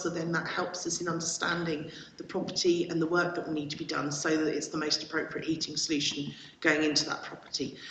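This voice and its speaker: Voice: medium pitch, fairly bright tone, recorded from a distance, slight background noise. A councillor answering a question at a council meeting, hesitant and restarting phrases. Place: council chamber. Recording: echoey chamber from off mic.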